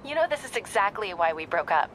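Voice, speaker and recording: A woman's voice: medium pitch, big pitch swings, fast, a bit bright, a little hiss or hum, rough recording. A woman acting a determined, easily exasperated young female reporter in a naturalistic video game style, earnest and sometimes sarcastic. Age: young adult.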